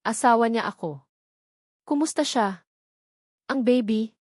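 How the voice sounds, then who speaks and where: A woman's voice: on the high side, a little slow, a little mellow, no room sound, clean studio sound. A woman with a Filipino accent voicing an anguished, guilt-ridden young husband in an audiobook, trembling and pleading. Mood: anxious.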